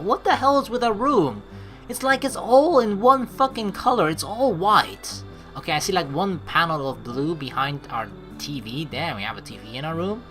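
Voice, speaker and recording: A young woman hosting a let's play, reading game narration aloud and commenting expressively in blunt, sweary language. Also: low-pitched. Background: game music.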